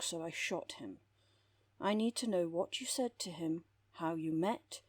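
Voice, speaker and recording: A woman playing a composed, wry underworld therapist with a husky voice and measured, formal delivery in an audio drama. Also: lowish voice, darkish tone, no room sound. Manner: measured, dry, formal wording. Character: composed, wry female underworld therapist who makes people disappear. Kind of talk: audio roleplay drama acting.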